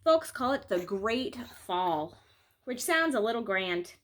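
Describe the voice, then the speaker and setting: A woman teacher reading a children's picture book aloud in an expressive, deliberate storytelling manner with dramatic pauses. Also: medium pitch.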